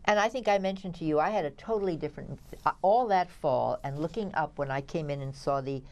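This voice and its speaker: A woman's voice: low-pitched, brisk. A woman co-hosting as a theatre critic, chatting through reviews in a wry, opinionated way. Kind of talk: conversational review banter.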